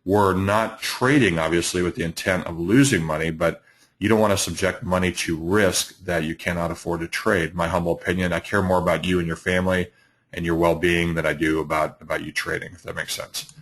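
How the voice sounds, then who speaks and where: Deep-voiced middle-aged man, slightly hoarse; halting, filler-heavy webinar host. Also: fairly even intonation, fairly bright tone. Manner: halting, many fillers and restarts. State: hoarse from allergies. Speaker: trading educator, webinar host. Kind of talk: live webinar presentation.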